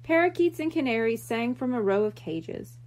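A woman reading a children's book aloud in an expressive storytelling style. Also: a little high, normal speed.

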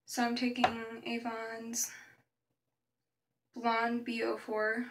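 A young woman walks through her makeup routine in a chatty, step-by-step way. Her voice sits fairly high and bright, with a gravelly edge of fry. She's a little back from the camera's built-in mic, and the small bedroom gives it a roomy echo. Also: completely flat delivery, slow, distant mic, noisy background. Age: young adult. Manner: chatty, narrates each step while applying makeup. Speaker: makeup vlogger. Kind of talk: casual makeup tutorial. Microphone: built-in camera mic at arm's length.

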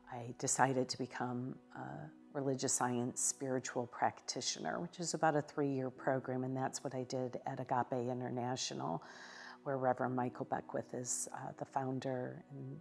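A woman minister giving a contemplative devotional teaching in a living room, with measured delivery and occasional hesitations. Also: rumbling low, vocal fry, darkish tone. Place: living room with a sofa, indoors.

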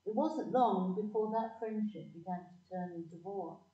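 A woman's voice: medium pitch, normal speed, mellow, natural voice. A woman playing an older narrator fondly looking back, in a scripted audio play. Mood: nostalgic. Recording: echoey, muffled, distant microphone.

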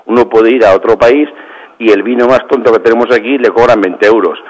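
A man's voice: medium pitch, fairly quick, darkish tone, poor audio. A restaurant manager calling into a radio show, talking proudly and at length about his food and wine. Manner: proud, talkative, repeats "espectacular". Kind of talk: phone-in radio chat.

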